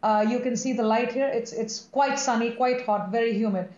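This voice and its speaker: A woman's voice: on the high side, moderate pace, a little mellow. A woman hosting an interview over a video call, effusive and repeating words as she searches for them. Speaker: host. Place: indoors. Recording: echoey, slightly muffled call audio.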